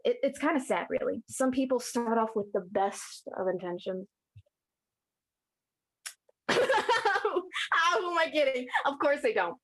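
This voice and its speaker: Woman playing a high-voiced, jaded demon. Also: big pitch swings, a little slow, fairly bright tone, full character voice. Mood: jaded, scornful. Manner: casual, sardonic, sweary. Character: cynical, jaded old demon who scorns human hypocrisy. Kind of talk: theatrical monologue in casual speech.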